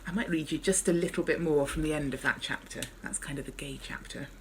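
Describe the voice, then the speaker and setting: Low-pitched woman author, wry and understated. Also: quick pace, slight background noise. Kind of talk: author talk and memoir reading.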